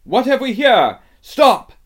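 An evil witch queen acted out by a man reading a storybook, imperious and furious. The voice jumps higher and louder, with haughty, explosive demands. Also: big pitch swings, slow, full character voice. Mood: sharp, commanding. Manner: commanding, explosive, haughty interrogation. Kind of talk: storybook character voice.

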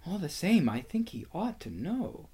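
A man's voice: on the high side, very animated, a little slow, mellow, breathy edge, pitched above the performer's own voice, full character voice. A man voicing an earnest, headstrong young girl in a storybook, with an insistent, emotional manner. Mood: hushed, insistent.

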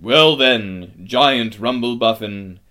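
Lion leader, man performing: hearty, commanding, kindly, projected loud, for a children's storybook. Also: mid-range voice, a little slow, lightly acted. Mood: hearty, roaring.

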